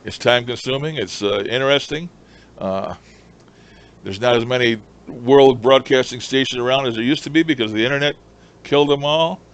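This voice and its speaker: A man's voice: medium pitch, normal speed, smooth. A man chatting casually in a folksy, rambling way as he explains his hobby. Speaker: shortwave radio hobbyist presenter.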